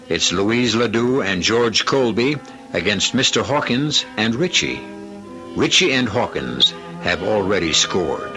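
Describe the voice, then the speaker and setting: A man with an American accent hosting a radio mystery drama, narrating in a wry, theatrical way. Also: on the low side. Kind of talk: scripted narration. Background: music.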